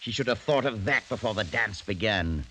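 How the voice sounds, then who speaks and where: A man's voice: fairly low pitch, animated, normal speed, pushed and bright. An actor playing a menacing, sly old French nobleman in a theatrical, mock-courteous radio style. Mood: mocking, gleeful. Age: older. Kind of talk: 1940s-50s radio acting. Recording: noisy vintage radio drama recording.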